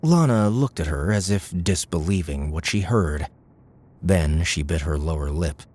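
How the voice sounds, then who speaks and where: A man narrating a scripted audiobook novel with measured, dramatic storytelling. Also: sits low, expressive, a little mellow.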